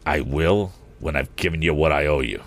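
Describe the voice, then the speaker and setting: A man's voice: a bass voice, normal speed, a bit bright. A man voicing a sneering, vindictive villain in a storybook, a hot-tempered and menacing bully. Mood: angry. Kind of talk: audiobook character acting.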